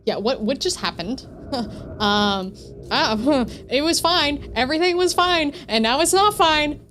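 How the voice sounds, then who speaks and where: A woman live streamer commenting casually on a horror game, halting and repeating her phrases when startled. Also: sits high, lively intonation, a bit bright, a little hiss or hum. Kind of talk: casual game commentary mixed with reading game text aloud. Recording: music behind the voice. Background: game music.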